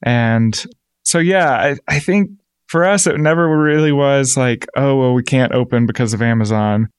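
A man's voice: mid-range voice, moderate pace, clean tone, no echo, studio quality. A man, a shop co-owner, explaining things conversationally as an interview guest.

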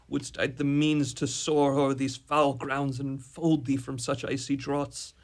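A man's voice: medium pitch, flat delivery, takes it slowly, lightly acted. A man playing a lovesick young suitor in an amateur radio drama, declaiming ornate archaic verse earnestly. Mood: yearning.

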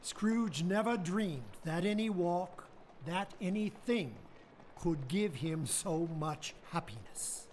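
A male narrator telling a holiday tale in a radio play, taking his time and letting his pitch rise and fall. A faint hiss sits under the recording. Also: slow. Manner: expressive storytelling.

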